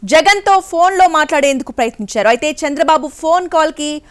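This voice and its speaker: A woman's voice: high-pitched, fairly quick. A woman news anchor. She delivers breaking news in a scripted bulletin style.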